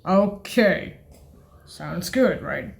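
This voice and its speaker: A woman demonstrating keyboard sound presets in an informal tutorial, speaking haltingly, listing items and often correcting herself. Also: lowish voice, lively intonation, gravelly.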